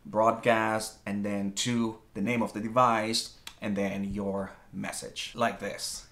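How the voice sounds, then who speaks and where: A man's voice: on the low side, flat delivery, slow pace, fairly bright tone. A man hosting a casual how-to tech video in an upbeat, chatty way. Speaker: host of a smart home tech channel.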